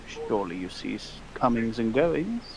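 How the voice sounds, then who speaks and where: A man voicing a polite, formal gentleman adventurer who talks in measured, old-fashioned phrases and presses his point. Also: medium pitch, sing-song, vocal fry, background noise, voice pushed down. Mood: courteous.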